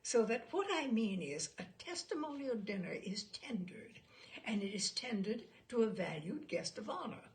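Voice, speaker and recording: A woman's voice: a little high, sing-song, normal speed. A woman voicing a pompous, fussy male expert witness in a humorous story, precise, proud and declamatory. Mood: pedantic, proud. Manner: precise, self-satisfied, declamatory. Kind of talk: humorous short story read aloud.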